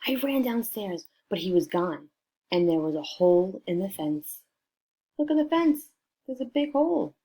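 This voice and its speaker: A woman reading a picture book aloud to children in an expressive way, prompting her listeners with questions. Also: mid-range voice, normal speed, a little hiss or hum. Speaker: storybook reader for children.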